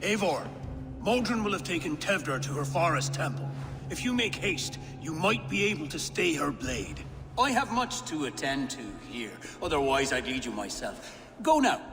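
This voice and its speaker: An actor playing a proud, scheming older chieftain in a video game, theatrical and measured, with a wry edge. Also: male voice, fairly high pitch, lively intonation, background noise. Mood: wry, urgent. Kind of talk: dramatic video game acting. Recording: long reverb, music behind.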